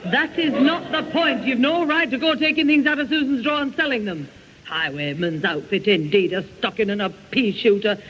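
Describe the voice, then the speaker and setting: A woman's voice: on the high side, animated, moderate pace, lightly acted. A woman playing a stern, exasperated mother, scolding in a radio sitcom. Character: scolding, exasperated mother, housewife keeping order. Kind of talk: 1970 radio sitcom acting. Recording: muffled reverberant vintage radio, faint noise.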